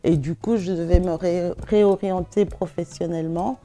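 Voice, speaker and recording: A woman's voice: fairly low pitch, fairly even intonation, normal speed, dark tone. A middle-aged woman answering interview questions conversationally, hesitating and repeating words as she searches for phrasing. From Belgium, of West African family. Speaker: interview guest, perfume shop owner.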